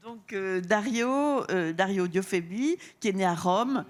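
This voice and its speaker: A woman's voice: mid-range voice, expressive, unhurried. A woman co-moderating a panel, introducing a guest with hesitations. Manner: hesitant, informal.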